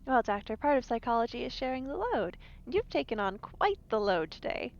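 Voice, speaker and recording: A woman's voice: fairly high pitch, sing-song, brisk. A woman playing in a tabletop role-playing game, talking casually on a lo-fi mic with background noise.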